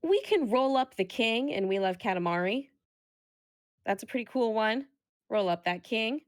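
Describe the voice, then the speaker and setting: A woman's voice: fairly high pitch, animated, normal speed. A woman hosting a charity marathon live, chatting casually and playfully as she goes through donation updates.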